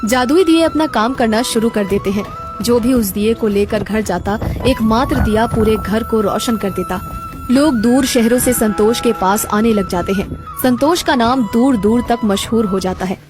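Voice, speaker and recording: A woman's voice: a little high, normal speed. Woman narrating a cartoon story over music. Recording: background music throughout.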